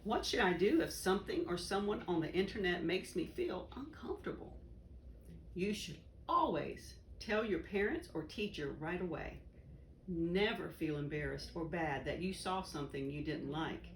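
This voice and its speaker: A woman reading a children's educational book aloud in a deliberate, instructive way. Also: fairly low pitch, animated. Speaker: volunteer story reader. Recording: roomy echo, faint background noise.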